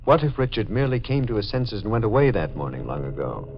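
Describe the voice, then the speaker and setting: An actor playing an older, long-lost lover in 1940s radio drama, measured and quietly insistent, with a gravelly voice. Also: male voice, on the low side, big pitch swings. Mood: gentle, insistent. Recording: noisy, muffled vintage radio recording.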